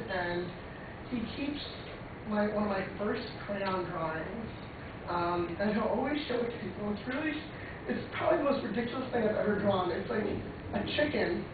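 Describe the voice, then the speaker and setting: A woman in her twenties from Long Island gives an informal, rambling personal presentation in a conversational way, with many likes. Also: mid-range voice. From Long Island, United States. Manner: rambling, conversational, uses "like" often. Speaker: student presenter, creative trainer. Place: echoey room, recorded from far off. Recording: muffled lo-fi, echoey room, distant mic, noisy.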